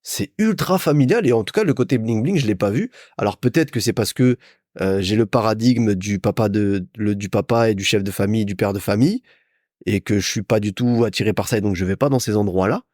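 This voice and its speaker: A man's voice: on the low side, fairly quick, darkish tone, dry recording, studio quality. A young man from France hosting a solo podcast, telling stories in a chatty, informal way as if to a friend. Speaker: podcast host. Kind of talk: casual solo podcast monologue, storytelling.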